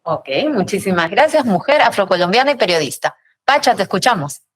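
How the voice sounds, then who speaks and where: A woman hosts a panel talk. She speaks at a middle pitch and walks her guests through each question step by step. She repeats their answers back to them. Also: big pitch swings, fairly quick. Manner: guiding, gives clear instructions to guests. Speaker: host, moderator. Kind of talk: moderating a panel interview.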